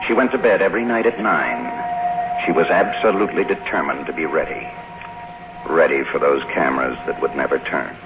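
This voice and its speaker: An actor playing a cynical, world-weary young Hollywood screenwriter in a radio noir drama, wry and laconic. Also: male voice, a bass voice, a little fast, background noise. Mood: reflective, wry. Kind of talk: 1950s radio drama acting and noir narration. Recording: dull old radio broadcast recording.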